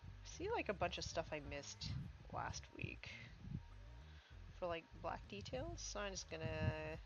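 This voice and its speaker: A woman's voice: low voice, huge pitch swings, unhurried, vocal fry, lo-fi sound, very noisy. A woman streaming herself painting miniatures, chatting casually with lots of fillers and a congested voice. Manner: rambling, many fillers like "like" and "um". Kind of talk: casual chat while painting. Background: music.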